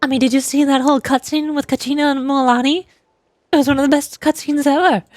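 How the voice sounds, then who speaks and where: Woman voicing a fast, high-pitched fiery warrior woman. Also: steady tone, darkish tone, dry recording, faint music behind, natural voice. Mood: eager, proud. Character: fiery warrior woman who loves duels, confident, battle-eager.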